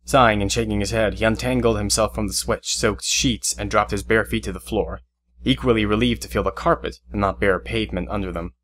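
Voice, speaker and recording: A man narrating a scripted drama in a measured, suspenseful storytelling manner. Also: fairly low pitch, steady tone, fairly bright tone, slight background noise.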